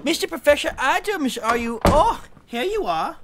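High, giggly naive housemaid. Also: female voice, big pitch swings, moderate pace, background noise. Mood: giggly, simple. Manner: giggling, chatty, artless. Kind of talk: old-time horror radio drama acting.

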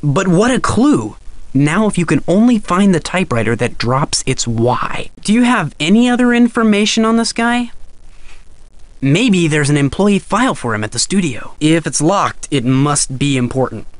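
A man's voice: fairly high pitch, sing-song, moderate pace, dry recording. A young man playing a loyal, teasing boyfriend in a video game. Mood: thoughtful. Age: young adult.

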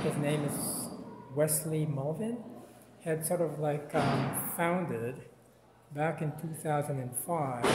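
A man from the Philippines, a Tagalog speaker, chats his way through a gallery tour in a slightly raised, easygoing voice. He rambles along with plenty of "sort of, like" fillers. The sound comes from a handheld camera mic with clear background noise and small clicks. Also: fairly high pitch. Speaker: art vlog host. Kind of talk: walking gallery tour vlog. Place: outdoor campus plaza, then indoor gallery.

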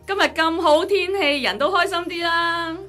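A woman's voice: extremely high pitch, moderate pace, pushed and bright. A woman with a Hong Kong Cantonese accent playing a cheeky, cheerful young flower seller in a radio drama. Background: music.

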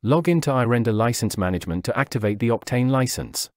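Steady male tutorial narrator, dry sound. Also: medium pitch, fast, dry recording. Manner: steady, instructional, step-by-step delivery. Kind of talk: scripted software walkthrough voice-over. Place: voice-over over screen recording.

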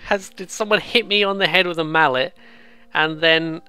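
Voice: mid-range voice, fairly even intonation, moderate pace, bright, forceful tone, clear, resonant tone, dry recording, faint music behind. A British let's player giving casual, rambling, amused commentary on a visual novel, with some swearing. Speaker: let's play host.

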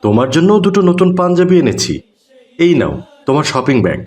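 A man playing an earnest, kindly father and family man in a cartoon, speaking in a preachy, measured, explanatory way. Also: on the high side, big pitch swings, a little mellow. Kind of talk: Bengali Islamic cartoon dialogue.

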